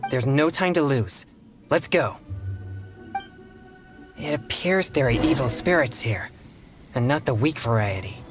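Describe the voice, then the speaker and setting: A man playing an earnest, polite teenage boy exorcist in a video game, speaking in a formal, measured way. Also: medium pitch, animated, music in the background, lightly acted. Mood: serious, focused.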